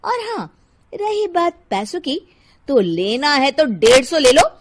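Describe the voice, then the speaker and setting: A haughty, sharp-tongued young housewife who snaps at everyone, voiced by a woman. Her voice is high and swings widely, and she speaks in an unhurried way. She is a cartoon storybook character. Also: very animated, full character voice. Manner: sharp, rude and scornful.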